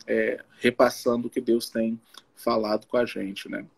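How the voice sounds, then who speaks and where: A man with a husky voice, speaking informally as a missionary pastor in a halting way, often checking in with his listener. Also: mid-range voice, little pitch movement. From Belo Horizonte, Brazil. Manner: halting, frequent tag questions to the listener. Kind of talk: informal testimony and Bible teaching. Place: live social media video call.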